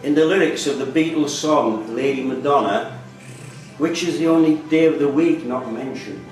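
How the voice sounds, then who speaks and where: A man's voice: medium pitch, normal speed. Male quiz master in an echoey room. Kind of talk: live pub-quiz question reading. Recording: echoey room, distant mic, loud background music.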